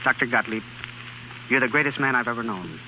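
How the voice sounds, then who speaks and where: A man plays a sincere young country doctor in vintage radio drama. His mid-pitched voice is plain-spoken and slightly hesitant, carried on a dull 1930s broadcast with faint hiss. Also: fairly quick, lightly acted. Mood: earnest, reluctant. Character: earnest young male country doctor, sincere, self-doubting. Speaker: radio actor.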